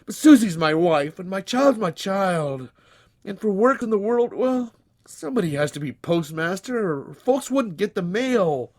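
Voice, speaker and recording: A man's voice: sits high, very animated, a little slow, a little mellow, voice pushed up, exaggerated voice. A man voicing an anguished, defiant, plainspoken small-town everyman in a storybook, pleading and folksy. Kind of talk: dramatized storybook reading.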